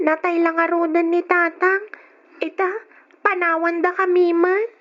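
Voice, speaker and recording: Radio drama role: pleading Ilocano teenage girl, thin piercing high voice, muffled lo-fi sound. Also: little pitch movement, a little slow. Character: distressed teenage daughter pleading with her mother. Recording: dull lo-fi radio drama recording.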